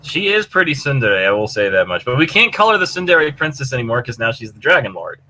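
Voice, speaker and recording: Bright-voiced man chatting on a fan podcast. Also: mid-range voice, fast. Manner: animated retelling with acted-out quotes, casual swearing. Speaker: podcast co-host. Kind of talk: casual fan discussion of a cartoon episode.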